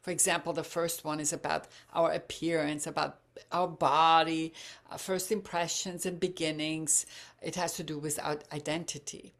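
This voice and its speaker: A woman, her voice low and gravelly with fry. She explains things at an easy, halting pace, often pausing on fillers and restarting her sentences. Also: level tone, fairly bright tone. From Germany or Croatia, bilingual German-Croatian. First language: German. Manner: hesitant, many fillers and restarts. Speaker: astrology speaker. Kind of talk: informal talk with slides.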